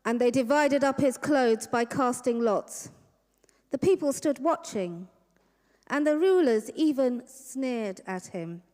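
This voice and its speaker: Slow, high-voiced woman reading in echoing hall. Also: animated, darkish tone. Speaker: Passion reader. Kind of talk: scripted scripture narration. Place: large church hall, live reading. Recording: echoey large church hall.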